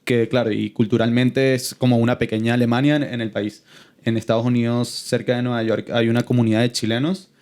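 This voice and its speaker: A man from Venezuela hosting a podcast, calm and conversational, often answering with short agreement. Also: medium pitch, a little fast. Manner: calm, frequent agreeing replies. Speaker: podcast host.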